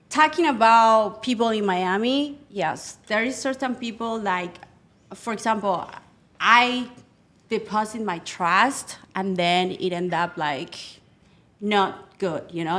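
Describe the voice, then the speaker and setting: A woman's voice: medium pitch, animated, a bit bright. Argentine-accented woman, polite and earnest. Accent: Argentine Spanish. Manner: polite, earnest, slightly halting English. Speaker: fitness coach, guest. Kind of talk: casual podcast interview.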